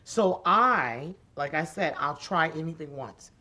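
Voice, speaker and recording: A woman in her forties with a deep, raspy voice, telling her story as a podcast guest in an animated, emphatic way and repeating key phrases. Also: unhurried. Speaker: podcast guest, special education advocate. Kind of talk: conversational interview storytelling.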